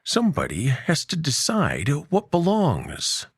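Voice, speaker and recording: A jovial, very old doctor from a science fiction story, acted by a male narrator. He pronounces things grandly and genially, in a lifted, very animated voice with a dry, close sound. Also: on the high side, measured pace, creaky, breathy edge, no echo, pitched above the performer's own voice, heavily acted. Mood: genial, philosophical.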